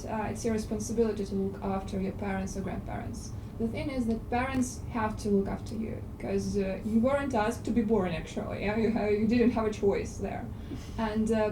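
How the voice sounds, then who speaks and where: A Russian-accented woman, not a native English speaker, gives a blunt, frank opinion at a film club. She is recorded from across an echoing cinema auditorium, with steady room noise behind her. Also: mid-range voice. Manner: frank, blunt, hesitant fillers. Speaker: discussion club participant. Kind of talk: spoken opinion in a film discussion. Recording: echoey hall, distant, noisy.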